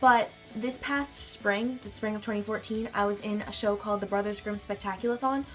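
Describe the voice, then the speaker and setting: Bubbly teen girl, stuffed-up nasal voice, says like a lot, quiet music behind. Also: medium pitch, fairly even intonation, a little fast. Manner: bubbly, chatty, lots of "like". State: congested from a cold. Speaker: vlogger. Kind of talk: casual chat answering a tag. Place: bedroom.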